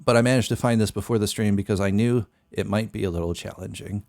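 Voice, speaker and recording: Young male streamer, very low voice, rambling casual delivery, dry close mic. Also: steady tone, quick pace, creaky, a little mellow, dry recording. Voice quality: very deep voice. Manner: meandering, thinks aloud with many fillers. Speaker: music producer streamer. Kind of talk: casual live-stream talk. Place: small bedroom studio. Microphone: studio mic on boom arm, headphones.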